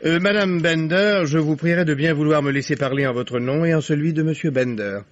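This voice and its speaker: An actor playing a fussy, polite family lawyer who cautions formally in a vintage French radio play. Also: male voice, a little high, a little fast, natural voice. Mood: cautioning. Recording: dull vintage radio recording.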